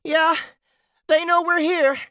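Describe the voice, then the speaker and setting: A woman's voice: extremely high pitch, steady tone, takes it slowly, fairly bright tone, no room sound, studio quality, full character voice. A woman playing a bold, blunt teenage girl recruit in a science fiction audiobook. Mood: urgent, blunt.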